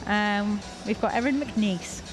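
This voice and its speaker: A woman's voice: medium pitch, slow pace, over music, rough recording. A young woman from North Wales, commentating a climbing competition live in a chatty, encouraging way.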